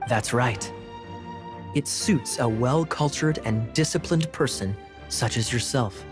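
A suave male knight captain from a video game , played by an actor. He speaks in a mid-pitched voice with sly composure and teases with ironic courtesy. Light music sits behind him. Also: slow pace, natural voice. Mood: courteous, sly. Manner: ironic politeness, smooth teasing.

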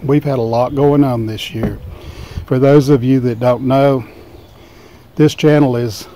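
A man with a mid-pitched, everyday voice talks his viewers through his backyard plants in an unhurried, folksy way. He is outdoors on a deck, holding the camera himself, so the sound is that of a handheld camera microphone in open air. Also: dark tone. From Tennessee, United States. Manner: folksy, unhurried, explaining as he films. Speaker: gardening vlogger. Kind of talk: casual garden tour narration. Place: outdoors on a home deck and garden.

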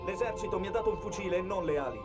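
A man's voice: high voice, animated, rapid-fire, loud music behind the voice. A man playing a sarcastic, grumbling marine grunt in an Italian video game dub. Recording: loud game soundtrack behind.

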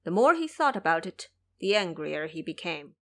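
A woman's voice: lowish voice, very animated, moderate pace, fairly bright tone. Woman narrating a dramatic tale. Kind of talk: scripted dramatic story narration.

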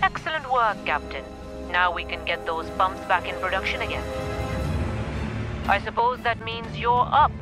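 Casting: authoritative female executive played by an actress for a game, mid-pitched, formal, cool, used to giving orders. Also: animated, normal speed, over music, lightly acted. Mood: approving. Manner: formal, curt, commanding. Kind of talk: video game character acting.

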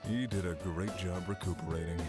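A man voicing a gruff middle-aged panda doctor, stern, earnest and deadpan, in a manga dub. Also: sits low, brisk, over loud music, lightly acted. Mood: solemn.

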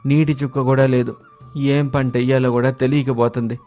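A man's voice: mid-range voice, flat delivery, normal speed, mellow, soft background music, lightly acted. Man playing a sorrowful old village farmer.

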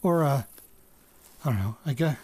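A man's voice: mid-range voice, lively intonation, normal speed, darkish tone, slightly rough. An actor playing a hesitant farm-raised man in an audio drama, murmuring and thinking aloud as if into a recorder. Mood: hesitant, musing.

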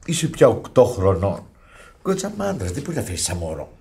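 A man's voice: lowish voice, huge pitch swings, creaky, mellow, exaggerated voice. An actor playing an exasperated, blustering father in a radio farce. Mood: coaxing. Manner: blustering, flustered, pleading. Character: exasperated, excitable father and flustered host. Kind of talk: radio play farce acting.

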